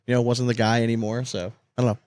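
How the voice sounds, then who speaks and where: A man talks quickly in a dry, close recording, mid-pitched and casual. He hosts a sports podcast with slangy, animated storytelling and loose swearing. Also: no room sound. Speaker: podcast co-host.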